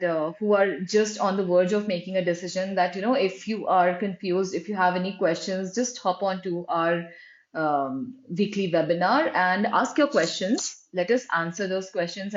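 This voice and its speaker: Female reviewer, chatty screen-share commentary, mid pitch, echoey and dull recording. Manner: conversational, frequent "right?" and fillers, restarts. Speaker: website reviewer. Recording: roomy, dull lo-fi sound.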